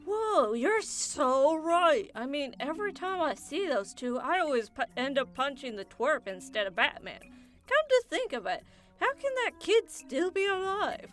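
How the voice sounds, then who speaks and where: A woman playing an excitable, scatterbrained supervillain in a comedic radio play. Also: high-pitched, unhurried, soft background music, heavily acted. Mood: amazed.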